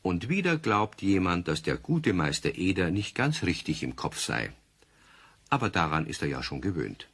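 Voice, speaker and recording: A kindly storybook narrator with a very low, husky voice. The delivery is animated and gently humorous, telling a children's radio play with widely moving intonation. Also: male voice, full character voice. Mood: wry storytelling.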